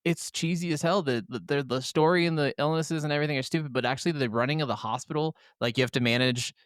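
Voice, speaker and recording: A man's voice: on the high side, quick pace, clear, resonant tone, dry recording, studio quality. A man co-hosting a podcast, chatting casually about video games. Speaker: podcast co-host.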